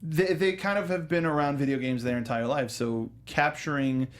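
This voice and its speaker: A man's voice: fairly high pitch, fairly even intonation, moderate pace. A man hosting a casual film and game discussion, talking with a fan's enthusiasm and often restarting sentences mid-thought. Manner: enthusiastic fan talk. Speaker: host of a film review show. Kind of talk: casual panel discussion of films and games.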